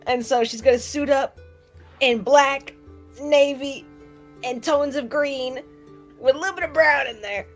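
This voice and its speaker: A woman's voice: high-pitched, a little slow, pauses often, faint music behind. A woman playing a tabletop game, narrating her character in a chatty, slangy, playful way.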